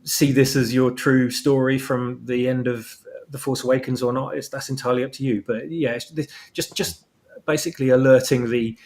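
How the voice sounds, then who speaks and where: A man with an average-pitched voice talks as a guest author. He explains things casually and tentatively, softening each statement as he goes. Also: fairly even intonation, moderate pace. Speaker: guest fan-fiction author.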